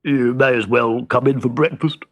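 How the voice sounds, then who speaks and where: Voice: medium pitch, fairly even intonation, normal speed, close to an everyday voice. A grumpy male rabbit from a children's storybook, voiced by a man. He grumbles along gloomily, as if put out at being disturbed. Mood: grumbling. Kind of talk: children's audio storybook character voice.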